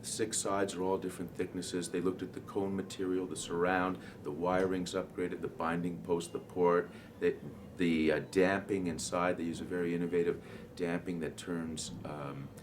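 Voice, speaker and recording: Male trade show distributor, very low pitch, explanatory and halting, clearly noisy room. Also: little pitch movement. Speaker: audio distributor presenting products. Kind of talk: informal trade show product presentation.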